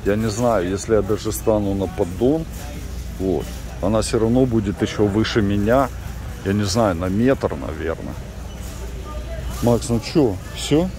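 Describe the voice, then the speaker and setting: A man's voice: fairly low pitch, measured pace, mellow. A middle-aged man chatting casually to viewers while filming in a large warehouse store. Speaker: vlogger. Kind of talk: casual vlog chat while shopping. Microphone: handheld camera.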